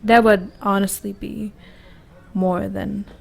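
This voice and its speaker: Girl doing a nervous young woman confessing to her crush, asmr roleplay, real slow n halting, creaky voice. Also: medium pitch, level tone, heavily acted. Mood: wistful, confused. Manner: halting, hesitant, repeating words, breathy pauses and sighs.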